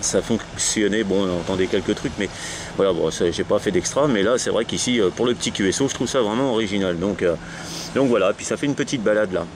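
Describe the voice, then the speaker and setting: A man's voice: fairly low pitch, fast talker. A man with a French accent chatting casually as an amateur radio operator, talking in a rambling, hesitant, repetitive way outdoors. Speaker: amateur CB radio operator leading a round of contacts. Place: outdoors on a low wall at the end of a sea dike. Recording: faint ambient noise, outdoor portable radio setup.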